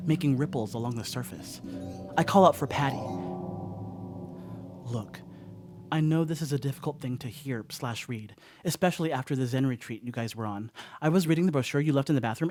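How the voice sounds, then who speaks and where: A rattled stoner dog sitter, played by a man in a radio play monologue. His mid-range voice rambles conversationally through a strange mishap, animated and full of wry asides. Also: medium pitch, a little fast, soft background music, lightly acted. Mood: rambling, uneasy.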